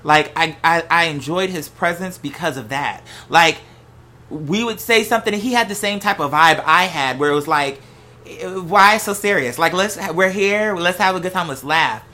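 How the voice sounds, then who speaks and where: Bright, animated American gossip host. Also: medium pitch, moderate pace. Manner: animated, sassy, slangy storytelling with acted-out quotes. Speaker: host of a gossip and commentary show. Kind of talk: casual unscripted monologue.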